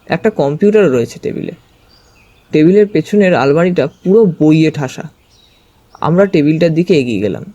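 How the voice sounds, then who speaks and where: High-pitched male story narrator, mellow. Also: lively intonation, moderate pace, long pauses. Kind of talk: dramatic first-person story narration.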